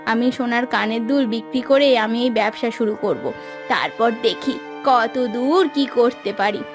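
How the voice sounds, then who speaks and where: A woman voicing a hardworking, hopeful village housewife in a cartoon, earnest and soft-spoken. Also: a little high, unhurried, over music, voice pushed down, close to an everyday voice. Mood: determined.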